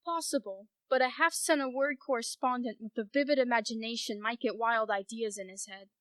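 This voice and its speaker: A woman playing a brisk, wry, inquisitive newspaperwoman in an amateur radio play. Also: a little high, a bit bright, no echo, close to an everyday voice. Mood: wry, suspicious.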